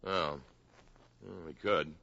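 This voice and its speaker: Bright, breezy radio drama boyfriend. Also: male voice, rumbling low, steady tone, slow, gravelly, exaggerated voice. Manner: casual, chuckling, eager. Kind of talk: 1950s radio drama acting. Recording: dull 1950s radio recording, faint noise.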